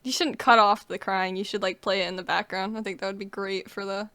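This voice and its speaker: A young adult woman giving casual gameplay reactions in an excitable, dramatic way as a streamer. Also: mid-range voice, a little fast, clear, resonant tone, no room sound. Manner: excitable, dramatic, with outbursts and mock wailing. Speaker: streamer reacting to a video game.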